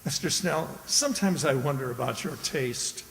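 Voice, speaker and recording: An actor as a genteel gentleman of a radio play, condescending and quietly menacing. His voice is fairly high and precise, set in a reverberant hall with faint hiss. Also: expressive, normal speed, gravelly. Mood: genteel, affable. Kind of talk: theatrical radio drama acting. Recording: echoey hall, hissy background noise.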